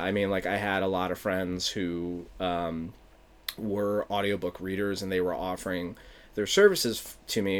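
A man with a Philadelphia accent who talks as an author in a casual interview, rambling and softening his consonants. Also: low-pitched. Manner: rambling, animated, drops consonants. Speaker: author and playwright.

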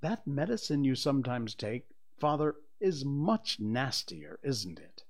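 An eager young girl from a storybook, voiced by a male narrator, with lifted, varied pitch. She is earnest and encouraging, always keen to help her father. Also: sing-song, unhurried, darkish tone.

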